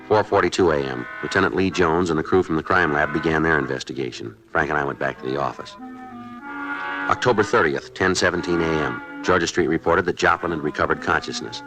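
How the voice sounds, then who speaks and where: An actor playing a terse, deadpan American police detective sergeant in a 1950s radio drama, matter-of-fact in dialogue and narration. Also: male voice, a bass voice, rough recording.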